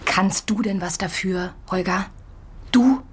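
An actress playing a woman who softly consoles her partner after his return home, often in a whisper. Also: medium pitch, takes it slowly, slightly rough. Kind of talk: radio drama acting.

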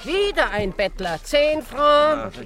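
A capricious, domineering old aunt in a radio drama. Her pitch leaps about freely as she fires off commands in repeated, excitable bursts. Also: takes it slowly, soft background music, heavily acted. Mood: bossy, generous. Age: older. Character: imperious, capricious rich old Russian aunt, gambling-happy.

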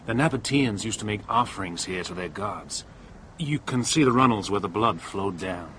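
An actor playing a calm, knowledgeable traveller in a radio drama, measured and explanatory. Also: male voice, fairly low pitch, slight background noise, poor audio. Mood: informative. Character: calm, knowledgeable male sightseeing companion.

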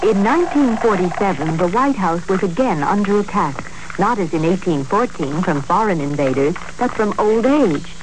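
A man's voice: sits high, expressive, normal speed. A man announcing on old radio, delivering scripted historical narration. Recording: noisy old radio recording.

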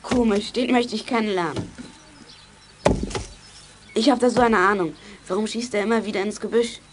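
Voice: mid-range voice, moderate pace, background noise, natural voice. A performer playing a decisive, cheeky child who leads young detectives in a children's radio play. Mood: curious, confident. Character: bossy, self-assured child leader of young detectives.

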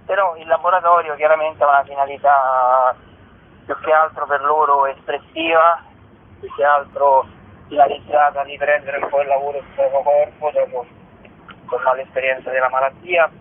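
A man's voice: medium pitch, level tone, moderate pace, a little hiss or hum. A man speaking as an interview guest on a radio show, explaining at length in a discursive way over a telephone. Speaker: musician and theatre author, interview guest. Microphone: phone call to a radio show. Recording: narrow telephone-band sound.

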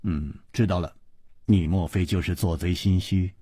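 A man voicing a haughty, sharp-tongued young princess in an audiobook, sneering and scolding. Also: low-pitched, steady tone, moderate pace, husky, no echo, clean studio sound. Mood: scornful.

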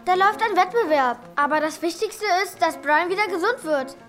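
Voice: very high-pitched, lively intonation, brisk, a bit bright, faint music behind. An actor playing a chatty, youthful middle-school girl in a German audio drama. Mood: earnest.

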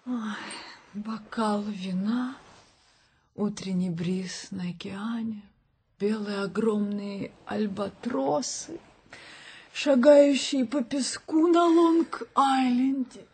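A woman in a radio play acting a refined, fussy, dreamy woman with a breathy, sighing delivery. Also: a little high, slow, darkish tone, heavily acted. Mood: dreamy, disdainful.

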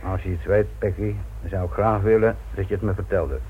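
A man's voice: lowish voice, fairly even intonation, a little fast, a little hiss or hum, close to an everyday voice. An actor playing a wry, teasing middle-aged police inspector in a Dutch radio play, nonchalant and colloquial in his talk. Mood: probing, wry. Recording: muffled phone-like old radio recording.

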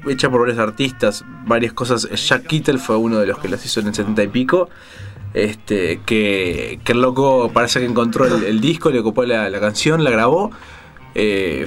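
A man with a Rioplatense Spanish accent presents a music show, explaining things in a casual, digressive way as if thinking aloud. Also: medium pitch.

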